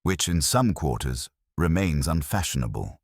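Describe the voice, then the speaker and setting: Sharp, proud lady, as a man reads her. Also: a bass voice, very animated, normal speed, husky, dry recording, clean studio sound, exaggerated voice. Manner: sharp, indignant. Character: proud, defensive aristocratic lady.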